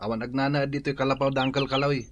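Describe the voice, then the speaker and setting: A man plays a young rural boyfriend in an audio drama. He is blunt and laid-back, with a mid-pitched voice and an "eh" tacked onto phrases. Faint noise, clicks and birdsong sit behind him. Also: flat delivery, very fast, dull audio, close to an everyday voice. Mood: easygoing.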